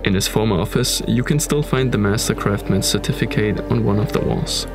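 A man with a German accent narrating a documentary about an abandoned manor in a calm, measured tone. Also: on the low side, over music. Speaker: narrator of urban exploration videos.

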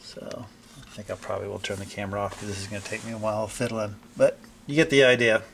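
Older man with a gravelly, creaky voice. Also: fairly low pitch, level tone, fairly bright tone, slight background noise, rough recording. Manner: halting, thinking aloud while working with his hands. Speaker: hobbyist restorer presenting a repair video. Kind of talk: casual unscripted repair narration. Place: indoor basement-like home workshop.

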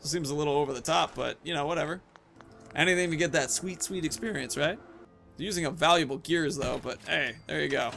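A man's voice: fairly high pitch, fast, vocal fry, sharp tone, a little hiss or hum. A man in his thirties giving casual, off-the-cuff commentary while playing a video game. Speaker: video game streamer, host.

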